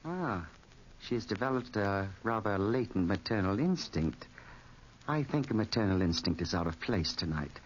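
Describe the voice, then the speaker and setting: A man's voice: fairly low pitch, lively intonation, moderate pace, natural voice. Man acting a menacing, mock-polite fat villain. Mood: oily politeness. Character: fat, sinister gambling-house boss, menacingly courteous villain. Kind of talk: 1940s radio acting. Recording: muffled, noisy 1940s radio recording.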